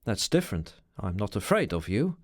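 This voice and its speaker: A brooding, sardonic gentleman with formal, ironic phrasing, his voice pitched up and moving widely, as a man reading aloud acts him out. He speaks in a measured way. The sound is close and dry. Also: sing-song, dry recording. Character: brooding, sardonic, educated middle-aged man.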